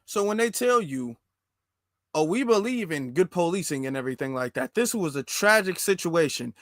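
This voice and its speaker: A man's voice: on the high side, lively intonation, normal speed, no room sound, studio quality. An American man giving impassioned political commentary as a host, emphatic and repetitive, with rhetorical questions.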